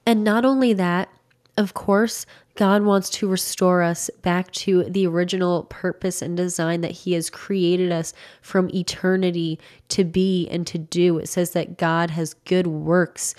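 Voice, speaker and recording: A young woman in her twenties speaks earnestly and encouragingly, like a devotional talk to her listeners. Her voice has a slight rasp left over from being sick. She sits close to a studio microphone in a small home studio. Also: lowish voice, steady tone, a little slow, a little mellow. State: slightly raspy from recovering from illness. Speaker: faith content creator. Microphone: large studio microphone close to mouth.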